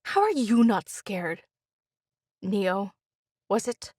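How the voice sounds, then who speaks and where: A woman's voice: medium pitch, sing-song, slow pace, darkish tone, no echo, studio quality. A woman acting as a scared, bitter helpline caller in an audio drama, telling things in clipped, sardonic fragments. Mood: scared, incredulous.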